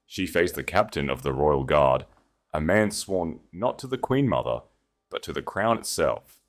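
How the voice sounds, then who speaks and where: A man's voice: a bass voice, lively intonation, unhurried. A man reading a scripted romantic fantasy tale as a dramatic audiobook narrator.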